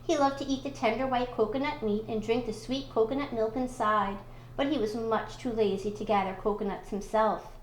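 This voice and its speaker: A woman reading a children's storybook aloud in expressive narration. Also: fairly high pitch, fairly quick, reverberant, slight background noise, low-quality recording. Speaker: school storybook reader.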